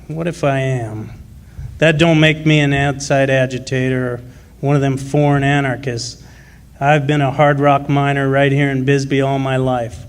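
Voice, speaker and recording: An actor playing a gruff, proud working-class miner and union man in a radio drama, with plain folksy speech. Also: mid-range voice, level tone, moderate pace, natural voice. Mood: earnest, persuasive. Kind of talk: live original radio drama.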